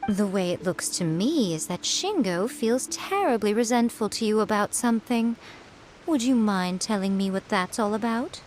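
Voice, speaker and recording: A woman voicing a sly fox-spirit shrine priestess in a video game, with a silky, mocking, unhurried delivery. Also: mid-range voice, animated, darkish tone, faint music behind, close to an everyday voice, low-quality recording. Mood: probing.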